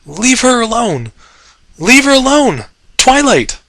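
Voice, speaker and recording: A man voicing a small young male dragon in a story, high-voiced and desperate with grief. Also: big pitch swings, takes it slowly, bright, forceful tone, no echo, exaggerated voice. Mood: anguished rage. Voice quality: high, shrieking.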